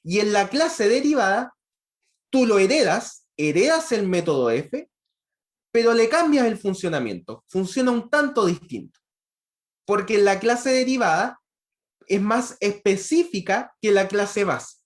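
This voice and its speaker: A man's voice: high-pitched, very animated, measured pace. A man giving an online university lecture on programming, explaining step by step and checking in with frequent rhetorical questions.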